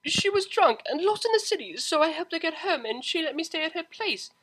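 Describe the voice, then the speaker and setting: A woman's voice: very high-pitched, lively intonation, a little fast, a bit bright, pitched above the performer's own voice, low-quality recording. A woman voicing a young, refined pony mare, a defensive daughter who pleads emphatically and gets flustered. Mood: panicked pleading.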